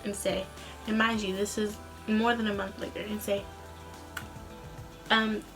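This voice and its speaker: A woman's voice: mid-range voice, level tone, a little slow, a bit bright, faint music behind. A young adult woman speaking as a vlogger in a casual, rambling, informal storytelling way. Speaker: vlogger, student singer.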